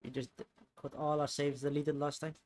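A man's voice: a little high, flat delivery, normal speed, a little mellow. A man giving casual gameplay commentary as a let's player. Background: game music.

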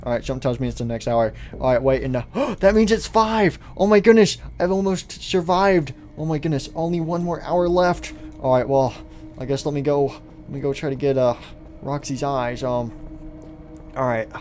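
A man play-acting an excitable, resourceful little boy who mutters hesitantly and bursts into exclamations, in an improvised story. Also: medium pitch, normal speed, slight background noise, close to an everyday voice. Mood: excited.

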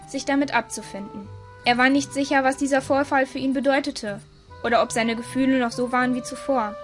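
A woman reading scripted story narration for an audio drama. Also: high-pitched.

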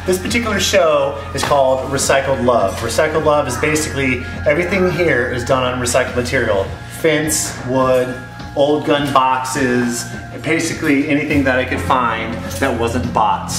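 A man's voice: medium pitch, moderate pace. Friendly man in an echoey gallery with music. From Arizona. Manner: friendly, promotional. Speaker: artist. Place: small gallery, indoors. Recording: echoey room with music behind.

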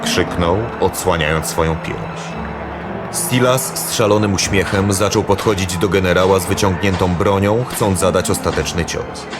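A man's voice: low voice, normal speed, music in the background. A man narrating a scripted fantasy audio drama as the storyteller.

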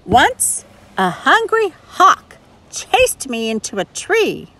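A woman's voice: high-pitched, wildly swooping pitch, slow, pushed and bright, voice pushed up, heavily acted, rough recording. A woman voicing a chameleon in a children's storybook reading, boasting emphatically. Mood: emphatic.

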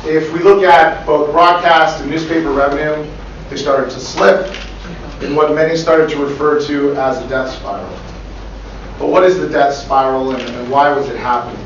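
A middle-aged man with a loud voice giving a business presentation, with frequent ums, heard from across a conference room. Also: fairly high pitch, steady tone, moderate pace, slight background noise. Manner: loud, says so himself, with many ums. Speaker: startup co-founder and guest speaker. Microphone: far room camera mic. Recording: echoey room from far off, dull lo-fi.

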